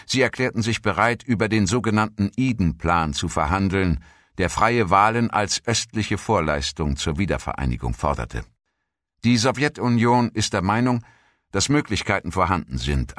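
A low-pitched male narrator reads a scripted historical documentary text. His delivery is measured and formal, as in an audiobook. The sound is dry and close, with hardly any room on it. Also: a bit bright, no echo.

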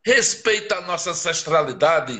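A man's voice: fairly high pitch, animated, normal speed, pushed and bright. A man from Northeastern Brazil with a Northeastern Brazilian accent, a guest writer speaking with passion and emphasis in a live interview, asking rhetorical questions. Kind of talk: live interview over a video stream.

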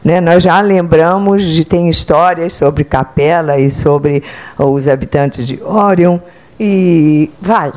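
A woman's voice: low-pitched, slow. An older Brazilian woman, a psychotherapist, giving a spiritual lecture standing in an auditorium, talking into a handheld microphone and often checking in with her listeners. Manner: conversational, checks in with "entende?" and "né?"; speaks with lively hand gestures, standing. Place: club auditorium, indoors.

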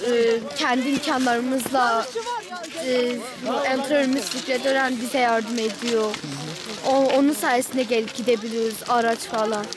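A young woman skier frustrated that nobody backs her team, speaking haltingly in an interview outdoors in freezing cold. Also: a little high, fairly even intonation, low-quality recording. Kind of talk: street interview. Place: outdoors at a ski race in deep cold. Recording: outdoor crowd chatter behind.

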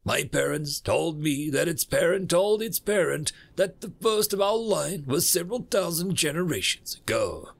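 A man acts out the universe itself as a bashful cosmic being in an audio story. The voice sits high and swings up and down, stammering politely and apologetically as it explains itself. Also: expressive, normal speed, vocal fry, few pauses. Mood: matter-of-fact, explaining.

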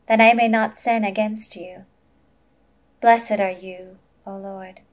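Slow, careful young woman reading scripture. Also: mid-range voice, low-quality recording. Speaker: lay reader.

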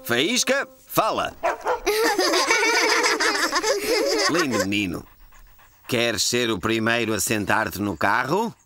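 A man's voice: fairly low pitch, very animated, unhurried, over loud music. A man with a European Portuguese accent playing a friendly, encouraging fire chief in a children's cartoon dub. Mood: cheerful, encouraging. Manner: cheerful, encouraging, explanatory.

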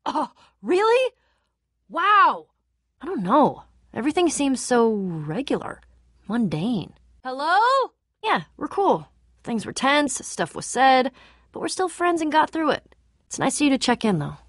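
A woman's voice: a little high, no room sound, studio quality. An actress playing a tough, guarded young female adventurer in a video game, casual, candid and dryly sarcastic. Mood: warm, relieved. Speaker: game voice actor. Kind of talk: video game character dialogue.

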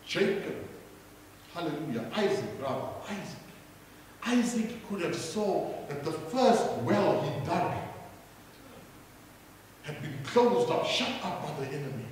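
High-voiced South African pastor preaching. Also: male voice, expressive, a little slow, long pauses, slight background noise. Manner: rising to loud preaching calls, crowd prompts. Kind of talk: sermon. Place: church stage. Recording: echoey hall from far off.

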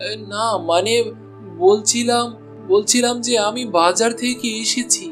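A man's voice: very high-pitched, fairly even intonation, unhurried, faint music behind. A man voicing an honest, henpecked male butcher in a cartoon, speaking timidly and pleading. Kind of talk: cartoon voice acting.

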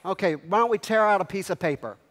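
Middle-aged male keynote speaker, higher-pitched voice, punchy questions to the crowd, big hall echo. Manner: energetic, quizzes the audience with quick questions. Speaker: company executive speaking at a convention. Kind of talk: motivational stage speech to a crowd. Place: large convention hall stage. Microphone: headset mic. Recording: long hall reverb.

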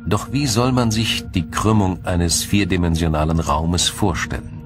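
Male narrator, low register, measured and formal documentary delivery, light music bed underneath. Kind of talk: scripted science documentary narration.

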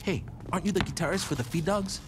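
A young, jittery gang sidekick who talks fast and nervously, played by a man. His voice sits a bit high for a man. Game audio with steady noise behind him. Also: lightly acted. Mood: nervous, eager. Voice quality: quick and nervous. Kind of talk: video game cutscene acting.

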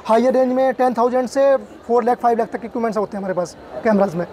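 A man in his thirties pitching camera gear as a salesman, listing products and prices in a quick, repetitive way. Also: steady tone, darkish tone, slight background noise. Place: busy indoor exhibition hall.

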